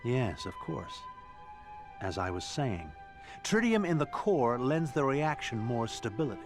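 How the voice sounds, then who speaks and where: A proud, lively scientist. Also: male voice, medium pitch, measured pace, over music. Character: proud male scientist, courteous and enthusiastic.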